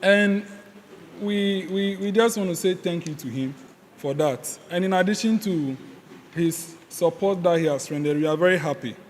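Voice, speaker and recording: A man with a Ghanaian accent, an organizer of a youth parliament, making ceremonial remarks and reading a citation, halting with fillers. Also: sits high. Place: large hall. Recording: hall reverb, faint room noise.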